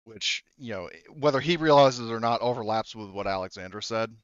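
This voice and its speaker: A man improvising as game master of a tabletop roleplaying game, narrating haltingly and relaying what characters say. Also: mid-range voice, clean tone, no echo, muffled recording. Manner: halting, speaks for characters in indirect speech.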